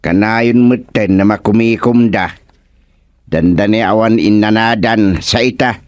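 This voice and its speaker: A man with an Ilocano accent playing a comical, excitable older village husband in a radio comedy-drama, full of exclamations and chuckles. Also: on the low side, dry recording, close to an everyday voice. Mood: chatty. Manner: exclamatory, chuckling, drawn-out reactions.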